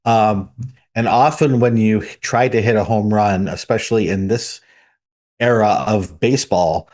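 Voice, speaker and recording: A man with a fairly low voice co-hosting a podcast. He talks in a measured, step-by-step way, unhurried and conversational. He leans on homespun analogies to make his points. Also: a little mellow. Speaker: podcast co-host. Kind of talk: casual analytical chat.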